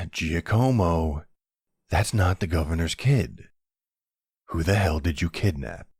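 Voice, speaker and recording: Man acting as a deep-voiced, exasperated mafia boss. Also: animated, slow pace, darkish tone, dry recording, studio quality, full character voice. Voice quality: deep, smooth, gravelly creak. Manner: wry, colloquial, weary. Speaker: voice actor in an audio roleplay. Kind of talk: ASMR roleplay acting. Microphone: close, dry microphone.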